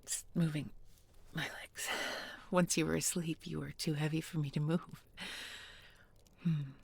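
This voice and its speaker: Woman playing a breathy, whispering, tender village girl. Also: sits low, little pitch movement, heavily acted. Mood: tender, soothing. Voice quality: soft, breathy, often whispering. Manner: slow, gentle, murmured with lingering pauses. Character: bookish young village girl, tender, soothing former captive. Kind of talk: intimate whispered audio roleplay.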